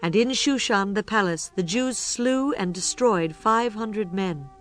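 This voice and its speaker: A woman with a medium-pitched voice narrates scripture from a script. Her delivery is formal and measured, with plucked guitar music playing behind her.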